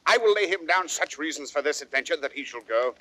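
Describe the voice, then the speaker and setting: Sly cheery robber, coaxing, highish voice, no echo, old radio shakespeare. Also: male voice, lively intonation, quick pace, bright, forceful tone. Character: sly, cheery thief coaxing his comrades.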